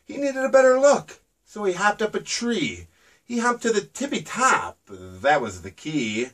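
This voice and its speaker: Slow sing-song man reading a picture book. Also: high voice, big pitch swings, a bit bright, distant mic. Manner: sing-song storybook delivery. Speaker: children's book author.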